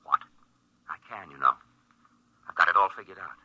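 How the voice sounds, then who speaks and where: An actor playing a calm, quietly insistent male astronaut in a 1950s radio drama. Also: deep voice, normal speed, breathy edge. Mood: knowing. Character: curious male astronaut explorer, steady and determined. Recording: dull, dry old radio broadcast, slightly off-mic.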